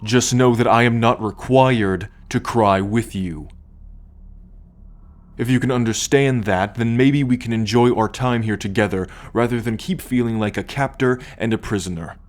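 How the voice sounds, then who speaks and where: A man playing a proud, possessive alien starship captain in an ASMR roleplay, speaking in a measured, formal, commanding way to the listener. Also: medium pitch, close to an everyday voice. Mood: firm, softening. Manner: measured, imperious, formal lecturing.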